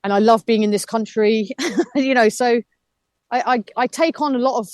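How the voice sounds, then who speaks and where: A woman's voice: on the high side, moderate pace, a little mellow, dry recording, studio quality. A woman in her fifties, a reflective, chatty guest on a radio interview who laughs easily. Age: 50s.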